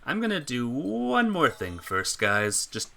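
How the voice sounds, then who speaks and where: A woman's voice: rumbling low, huge pitch swings, measured pace, creaky. A woman game streamer reading a visual novel aloud and chatting casually with viewers. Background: music.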